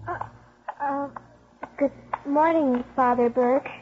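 A woman's voice: high voice, fairly even intonation, slow, soft background music. An actress playing a streetwise, cheeky schoolgirl with slangy, matter-of-fact talk in a 1950s radio drama. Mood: evasive, breezy. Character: streetwise, precocious schoolgirl, brash and unimpressed by grown-ups. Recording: muffled 1950s radio broadcast.

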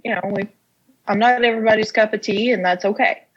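A young woman's mid-pitched voice with a Kentucky accent, a little muffled by lo-fi recording. She talks as an interview guest, telling her own story in a plain-spoken, unpretentious, conversational way. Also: fairly even intonation, quick pace, a crowd in the background. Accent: American, Kentucky. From Casey County, Kentucky, United States. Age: young adult. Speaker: interview guest, whiskey industry professional.

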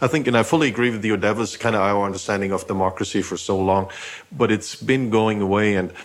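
A man's voice: lowish voice, fast. A man from Eastern Germany, hosting a podcast and asking long, rambling questions with many fillers. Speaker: podcast host. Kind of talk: casual interview questions.

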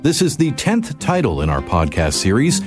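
A man's voice: mid-range voice, huge pitch swings, moderate pace. A man announcing a scripted podcast introduction. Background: violin music.

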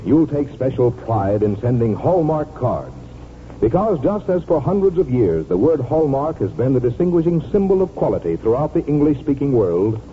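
A man's voice: mid-range voice, narrow, tinny audio, a little hiss or hum. An American man announcing a 1940s radio show and its sponsor copy, polished and emphatic. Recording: thin 1940s radio, faint music.

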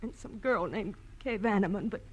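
Actress as nervous, halting, prim wife. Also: female voice, medium pitch, slightly rough, lightly acted. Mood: anxious, defensive. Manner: hesitant, halting. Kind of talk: 1940s radio drama acting. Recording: noisy old radio recording.

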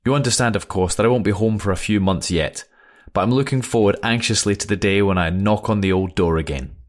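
A man's voice: lowish voice, a little fast, lightly acted. A young man reading aloud as an earnest airman writing home to his mother, modest and a little dry. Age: young adult. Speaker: letter reader. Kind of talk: reading a wartime letter aloud.

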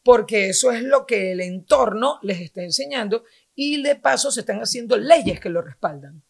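A woman's voice: a little high, lively intonation, normal speed, gravelly, breathy edge. A Venezuelan woman in her forties, a guest in a casual chat, talking in an earnest, explanatory way.

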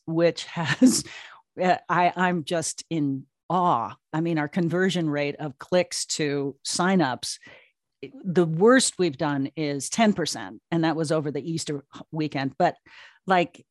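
A woman's voice: low-pitched, takes it slowly, no echo. Chatty older woman, close dry sound. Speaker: guest, audio drama producer and creative officer. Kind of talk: conversational interview.

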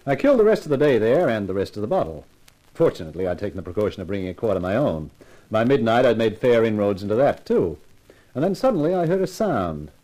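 A man's voice: on the low side, very animated, quick pace, mellow, rough recording. A man playing a breezy, irreverent, hard-drinking detective, acting and narrating in a colloquial way in a 1950s radio mystery. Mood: breezy narration. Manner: wry, colloquial, hesitant asides.

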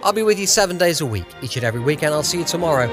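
Male podcast host, slightly high voice, animated, upbeat sign-off, music behind. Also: racing.

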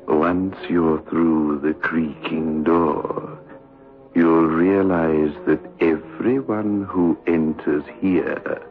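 An actor playing a macabre horror-show host, narrating 1960s radio horror with ominous, drawn-out deliberation. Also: male voice, rumbling low, steady tone, faint music behind, full character voice. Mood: sinister welcome. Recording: tinny old radio recording.

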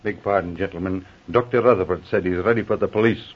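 Deferential low-voiced policeman. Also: fast talker, breathy edge. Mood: respectful. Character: deferential, dutiful policeman reporting to superiors. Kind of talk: 1940s radio drama acting. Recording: muffled old radio recording.

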